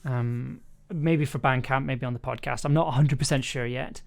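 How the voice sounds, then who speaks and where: Male livestreamer and audio producer, medium pitch, informal and tentative, thinking aloud while working, keyboard clicks audible. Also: steady tone, normal speed, creaky. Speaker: streamer and audio drama producer. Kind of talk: casual livestream commentary while editing.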